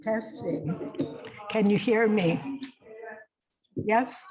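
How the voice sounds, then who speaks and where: A soft-spoken woman doing a microphone check before giving a lecture in a congregation hall. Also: medium pitch, very slow. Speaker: guest lecturer.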